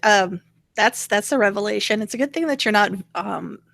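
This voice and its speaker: A woman hosting a casual political gossip show with a dry, sardonic wit. Her voice sits at a middle pitch and is recorded close and dry, with very little room sound. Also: moderate pace, creaky, a bit bright, dry recording. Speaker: show co-host.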